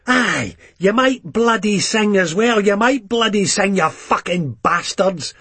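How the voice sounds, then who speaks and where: A man's voice: high-pitched, animated, slow pace, a bit bright, breathy edge, no room sound, voice pushed up, heavily acted. A man with a Scottish accent voicing an aggressive, volatile young Scottish hard man who snaps and swears. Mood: mocking. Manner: snapping, swearing, combative.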